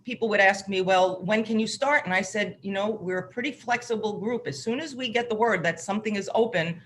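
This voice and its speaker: A woman talks casually as an interview guest who helps run an orchestra. Her pitch sits in the middle range, and clear noise can be heard in the background. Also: fairly quick. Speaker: orchestra organizer and fundraiser.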